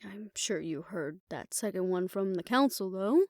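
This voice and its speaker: A woman playing a weary, proud aging fixer talking softly and wryly to her lover in an intimate roleplay audio drama. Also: medium pitch, animated, moderate pace, no echo, clean studio sound, close to an everyday voice. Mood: wry, confiding.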